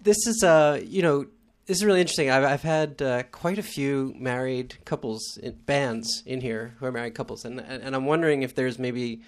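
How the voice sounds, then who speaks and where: A man's voice: mid-range voice, normal speed, few pauses. A man hosting a casual radio interview in a studio, rambling and repeating words as he thinks. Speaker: radio show host.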